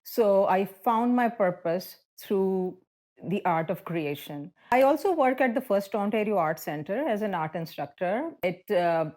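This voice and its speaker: A woman from Pakistan, an artist introducing herself in an interview, sincere and halting, often repeating words. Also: medium pitch, lively intonation, dark tone. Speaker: artist and art instructor.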